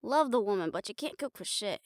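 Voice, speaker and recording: A woman playing a caring, blunt, teasing young girlfriend in an improvised audio roleplay, chatting casually and haltingly to the listener. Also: mid-range voice, animated, no echo, clean studio sound, lightly acted. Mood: playful, blunt. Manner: casual, halting, playful swearing, affectionate teasing.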